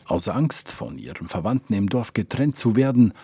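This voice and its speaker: A male reporter narrating a scripted news feature in a measured, matter-of-fact way. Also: on the low side, sing-song, mellow, husky, no echo.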